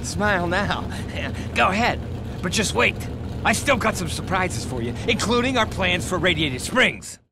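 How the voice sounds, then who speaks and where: A man's voice: high voice, expressive, moderate pace. A man playing a boastful, vengeful race car who is a sore loser, with a taunting, theatrical delivery in a game. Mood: gloating. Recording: loud music behind the voice.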